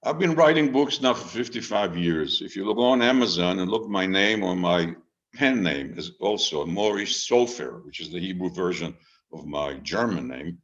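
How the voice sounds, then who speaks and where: A man in his eighties from Israel, a retired rabbi, speaking informally and deliberately to an online group, with storytelling pauses and repeated phrases. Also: deep voice, a bit bright. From Israel, born in Haifa under British Mandate Palestine. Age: 80s. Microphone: video call microphone. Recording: dull, lo-fi video call audio.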